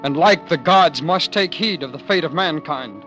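An actor playing a weary, despairing man haunted by apocalyptic dreams, in a grave, halting, declamatory 1940s radio drama style. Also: fairly high pitch, music in the background, natural voice. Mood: impassioned. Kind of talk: 1940s radio acting, dramatic first-person monologue.

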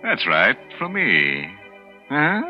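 A man's voice: medium pitch, huge pitch swings, drawn-out words, exaggerated voice. An actor playing a mocking male horror host who jokes darkly and chuckles, in 1940s radio acting. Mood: sly. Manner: chuckling, teasing, darkly joking. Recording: muffled 1940s radio broadcast with music.

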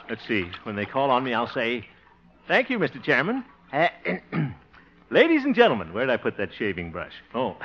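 A man's voice: medium pitch, close to an everyday voice. An actor as a blustery, swooping small-town radio man. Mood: flustered, musing. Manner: blustery, chuckling, flustered. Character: good-natured, bashful small-town radio man running for mayor. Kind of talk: 1950s radio comedy acting. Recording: muffled 1950 radio recording, noisy, audience.

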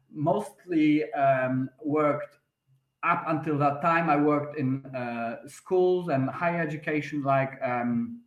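Hesitant Polish man in an echoey room. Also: fairly high pitch. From Poland. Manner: hesitant, many restarts and fillers. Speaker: podcast guest. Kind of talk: conversational interview answer. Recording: roomy echoey sound.

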